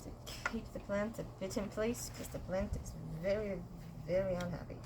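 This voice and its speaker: A woman's voice: mid-range voice, fairly even intonation, moderate pace, background noise. A young woman from Sweden, chatting casually and playfully to her viewers while gardening outdoors, talking to herself and humming as she works. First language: Swedish. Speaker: gardening vlogger.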